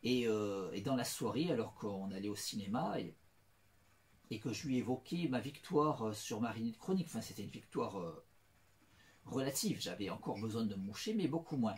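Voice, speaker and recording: A man's voice: lowish voice, animated, moderate pace, darkish tone. A middle-aged man talking through a meandering personal monologue, full of restarts, fillers and repeated phrases. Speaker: self-styled philosopher.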